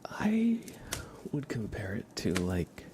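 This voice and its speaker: A man hosting an ASMR livestream, chatting gently and informally in a soft, breathy voice that often slips into a whisper, close to the microphone. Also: on the low side, wildly swooping pitch, takes it slowly, background noise. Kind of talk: soft-spoken ASMR, casual live chat.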